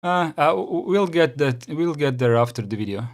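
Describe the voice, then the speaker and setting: A man's voice: fairly high pitch, animated, moderate pace. A man with a Croatian accent, hosting a casual reaction stream, talking hesitantly with many fillers and restarts. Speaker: channel host reacting to a video.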